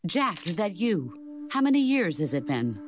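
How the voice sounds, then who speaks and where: Veteran female war mentor: low, calm, stern, deliberate game delivery, music behind. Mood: tender. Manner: deliberate, philosophical, commanding. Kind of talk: video game voice acting.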